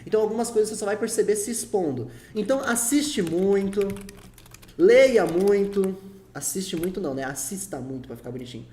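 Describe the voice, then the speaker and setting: Brazilian male teacher, warm tone, coaching chatter, roomy echo. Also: high-pitched, a little hiss or hum. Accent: Brazilian Portuguese. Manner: chatty, coaching, repeats points for emphasis. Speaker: English teacher. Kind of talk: casual video lesson. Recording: echoey room.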